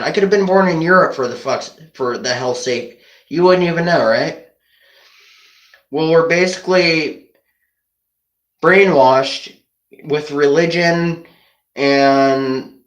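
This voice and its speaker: Higher-voiced man rambling in an echoey room. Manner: earnest, conspiratorial, meandering, often asking rhetorical questions. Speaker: online video creator. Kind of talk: rambling casual monologue to camera. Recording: roomy, echoing indoor sound.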